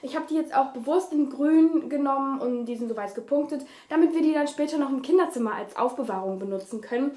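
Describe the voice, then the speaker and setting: High-pitched young woman, echoey room. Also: quick pace, hardly any pauses, a little mellow. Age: 20s. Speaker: family vlogger, mother. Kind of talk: casual vlog talk to camera. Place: small furnished living room, indoors.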